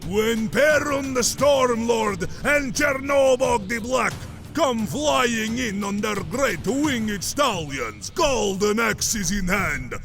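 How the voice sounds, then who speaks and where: A man acts out a defiant river warrior in a dramatic audio drama, gravelly and theatrical. The voice is high and swings widely, often raised to a shout, over music. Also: expressive, slow pace, exaggerated voice. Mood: scornful, defiant.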